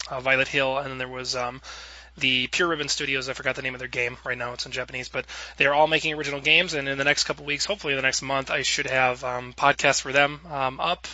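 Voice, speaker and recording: A man's voice: mid-range voice, sharp tone, dry recording, low-quality recording. A man hosting a podcast in a casual, chatty, rambling way. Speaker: podcast host.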